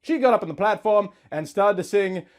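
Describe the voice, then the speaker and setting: A man's voice: high-pitched, expressive, fairly quick. A man in his thirties giving a mock-earnest, melodramatic reading of a story, leaning on words for emphasis. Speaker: host of a comedy reading series. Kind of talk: theatrical dramatic reading of fan fiction.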